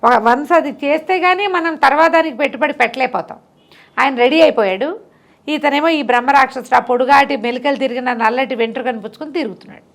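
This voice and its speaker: A woman storyteller with a high voice and plenty of creak in it. She tells moral tales in a conversational, instructive way, repeating words to drive a point home. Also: fast talker.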